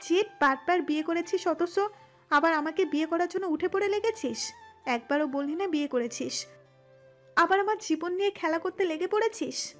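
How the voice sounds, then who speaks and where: A woman's voice: very high voice, moderate pace, soft background music. A woman playing a hot-tempered, jealous young woman in a dramatised romantic audio story. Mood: furious.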